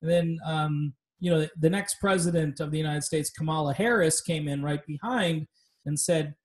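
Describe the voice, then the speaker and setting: A man's voice: fairly high pitch, moderate pace. A man hosting a casual political podcast chat, talking loosely and wandering off on tangents. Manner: loose, rambling, tangent-prone. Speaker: podcast host.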